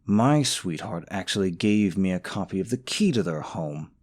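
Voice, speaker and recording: A man's voice: sits low, expressive, moderate pace, a little mellow. A man playing a young, smug, possessive boyfriend in an audio roleplay, sarcastic toward his rival and syrupy sweet to the listener. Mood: smug, mocking. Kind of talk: scripted romantic audio roleplay.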